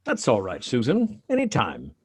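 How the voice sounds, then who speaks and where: Man as husky, genial gardening father. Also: medium pitch, huge pitch swings, moderate pace, a little mellow, dry recording. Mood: inquisitive. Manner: friendly, inquisitive. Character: genial, middle-aged widowed father who gardens. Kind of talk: live script reading of a radio drama.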